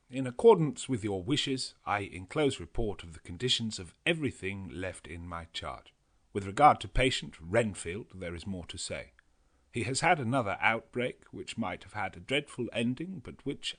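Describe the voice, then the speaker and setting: A man narrating a classic novel as an audiobook, in a measured and expressive reading. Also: on the low side. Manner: measured, expressive reading with a lively, shifting delivery.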